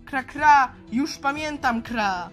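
A croaky crow for a kids audio story, high and sharp, talks slow, caws between words. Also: female voice, animated, faint music behind, exaggerated voice. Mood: urgent. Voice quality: hoarse croaking. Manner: punctuates speech with caws. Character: croaking crow-like bird, scary-looking but good-hearted and grateful. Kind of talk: children's audio play acting.

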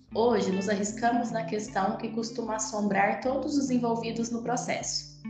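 Brazilian female podcast host, slightly high-pitched, over music in a roomy-sounding recording. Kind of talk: scripted introduction and interview. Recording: roomy echo, music behind the voice.

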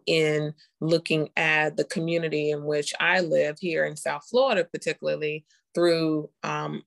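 A woman's voice: low voice, creaky, fairly bright tone. A woman from North Carolina, born in Costa Rica, explaining her work as an interview guest and often checking in with "right?". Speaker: interview guest, nurse informaticist and health tech founder.

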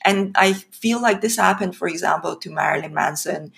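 Gravelly-voiced woman from Iran. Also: fairly low pitch, normal speed. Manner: hesitant, many fillers, animated when laughing. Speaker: podcast guest. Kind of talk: casual interview chat.

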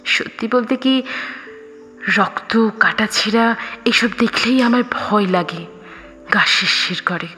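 A woman reading a scripted first-person story expressively, with dramatic pauses. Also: a little high, pushed and bright. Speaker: audio story narrator. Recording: reverberant, music underneath. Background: background music.

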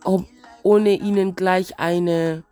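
A woman with a cold, sounding stuffy and wheezy, musing slowly and reflectively in a casual spiritual vlog. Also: lowish voice, fairly even intonation, warm tone, soft background music. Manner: slow, searching, reflective musing. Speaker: vlogger.

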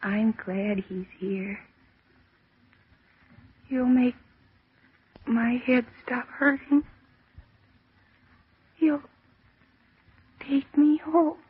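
A young woman trapped in the dark, frightened and slipping into delirium. Her voice is high and her delivery very slow and halting, in 1940s radio drama manner. The recording is thin and narrow like an old broadcast. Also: fairly even intonation, husky, full character voice. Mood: dreamy, resigned. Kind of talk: 1940s radio horror acting.

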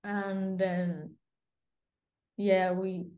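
A woman tells a personal memory at an unhurried pace. She speaks reflectively and repeats words for emphasis. Her voice sits at a medium pitch. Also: little pitch movement, mellow. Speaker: guest, bride-to-be. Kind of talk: casual storytelling.

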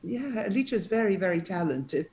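A woman's voice: medium pitch, sing-song, normal speed, a little mellow. An older woman with an Italian accent, chatting reflectively as a podcast co-host. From Italy. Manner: reflective, speaks in short restarting phrases. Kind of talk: casual conversation.

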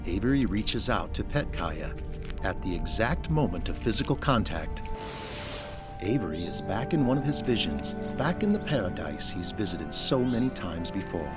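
A man's voice: lowish voice, sing-song, normal speed. A man narrating an audio drama in a scripted, dramatic storytelling style. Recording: music behind the voice.

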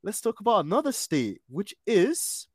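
A man's voice: high voice, wildly swooping pitch, moderate pace, a little mellow, no room sound, clean studio sound. Upbeat man hosting a podcast. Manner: upbeat, prompting with questions and easy laughs. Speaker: podcast host. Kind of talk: casual podcast interview.

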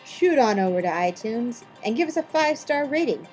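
A woman's voice: fairly high pitch, expressive, brisk, a little mellow, soft background music, low-quality recording. A woman co-hosting a podcast, running segments and reading a recap in a mock-dramatic, theatrical way. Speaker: podcast co-host.

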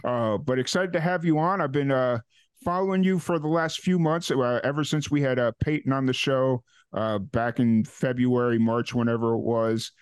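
A man hosts a relaxed podcast chat in an easygoing, rambling way, leaning on "uh" and a trailing "so". His voice sits at an ordinary pitch and sounds very dry and close, with no room around it. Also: normal speed, smooth, no room sound. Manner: relaxed, many "uh" fillers and trailing "so". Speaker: podcast host. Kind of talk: casual interview.

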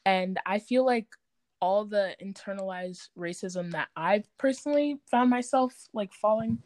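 A young woman talking candidly in a group discussion, in an informal, halting, reflective way with frequent "like". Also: mid-range voice, a little mellow, dry recording.

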